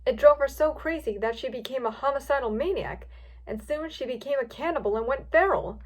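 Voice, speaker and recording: A calm storybook pony princess, read by a woman. She sounds solemn and knowing, a guide with a high voice and matter-of-fact delivery. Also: a little fast. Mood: grave.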